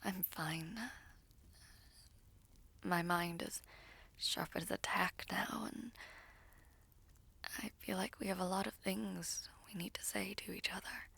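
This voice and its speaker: A woman playing a guilt-ridden, weary young villainess sorceress in an intimate audio roleplay, speaking haltingly with breathy, half-whispered softness. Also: on the low side, fairly even intonation, exaggerated voice. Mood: shy, tentative.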